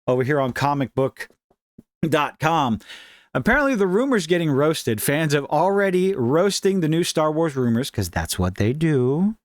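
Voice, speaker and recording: A man's voice: fairly high pitch, big pitch swings, moderate pace, no room sound. Man giving wry, casual commentary, dry close sound. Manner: opinionated, wry, informal. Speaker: commentary show host. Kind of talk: casual commentary, reading and reacting to online posts.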